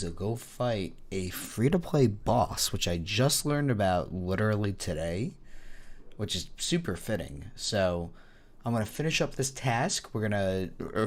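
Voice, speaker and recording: A man with a hoarse voice from a cold, giving casual, self-mocking commentary over his own gameplay, thinking aloud and getting excited at small wins. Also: lowish voice. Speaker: gaming YouTuber.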